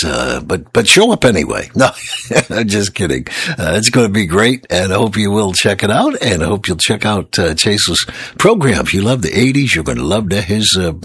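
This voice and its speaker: Male morning DJ, hoarse and gravelly, low and rough, dry close studio mic, rambling with joking asides. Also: a bit bright, no room sound. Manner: rambling and chatty, teasing asides. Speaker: radio DJ. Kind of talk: casual morning radio announcing. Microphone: studio microphone.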